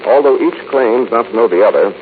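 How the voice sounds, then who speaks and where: An actor playing a suave, self-assured gentleman sleuth in a 1940s radio drama. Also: mid-range voice, animated, brisk, natural voice. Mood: confident, explanatory. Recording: muffled, noisy 1940s radio recording.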